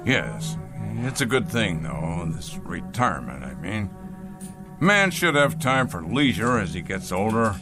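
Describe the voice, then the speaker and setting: An actor playing a pompous, bitter ousted company boss in a science-fiction drama, neurotic, halting and imperious. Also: male voice, fairly low pitch, big pitch swings, music in the background. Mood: wounded, resentful. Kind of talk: classic science-fiction radio drama acting.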